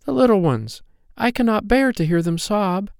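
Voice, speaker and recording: A man voicing an eager, high-pitched little fairy girl. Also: big pitch swings, normal speed, no room sound, clean studio sound. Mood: distressed, pleading. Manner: exclaiming, wide-eyed and earnest. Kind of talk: children's storybook character voice.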